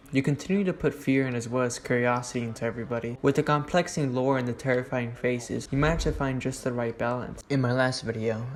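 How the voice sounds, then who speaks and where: A man hosting an explainer video, reading scripted commentary as narration. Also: medium pitch. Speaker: host of a horror series explainer video.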